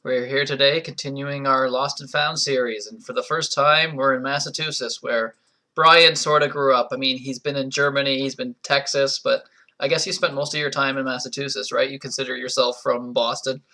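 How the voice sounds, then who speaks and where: A man's voice: medium pitch, fairly even intonation, moderate pace, bright, forceful tone. A man hosting a casual chat, easygoing, prompting his guest with short questions and laughing along. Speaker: host.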